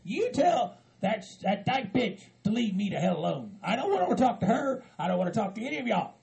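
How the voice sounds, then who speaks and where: A man voicing an angry, foul-mouthed Southern hillbilly who rants and shouts as if deeply wronged. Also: big pitch swings, fairly quick, vocal fry, full character voice. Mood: surly, angry. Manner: hot-tempered, shouting, profane.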